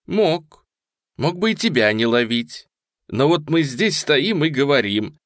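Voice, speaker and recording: A man voicing a menacing young male criminal who speaks slowly and coldly, with contempt. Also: on the high side, very animated, no echo, clean studio sound, voice pushed up. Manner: slow, cold, contemptuous.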